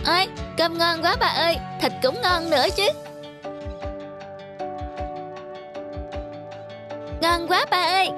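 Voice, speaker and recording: A woman's voice: extremely high pitch, brisk. A woman voicing a spoiled little schoolgirl in a children's cartoon, with a blunt, childish way of talking. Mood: eager, childish. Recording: loud music behind the voice. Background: loud children's music.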